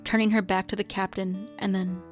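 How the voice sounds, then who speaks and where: A woman's voice: medium pitch, flat delivery, brisk, soft background music. A woman reading scripted story narration as the narrator of a radio drama.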